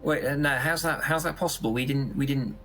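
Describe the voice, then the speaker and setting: A man's voice: mid-range voice, a bit bright, soft background music, natural voice. Sci-fi drama manager male actor: average register, flustered, cautious, stumbling repetitions. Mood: flustered, worried. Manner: halting, repeats words when rattled.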